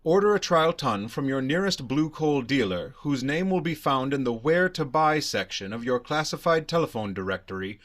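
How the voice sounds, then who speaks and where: A man's voice: mid-range voice, animated, normal speed, hardly any pauses, a bit bright. A man announcing in the style of a 1930s radio advertisement, with a formal, emphatic sales delivery.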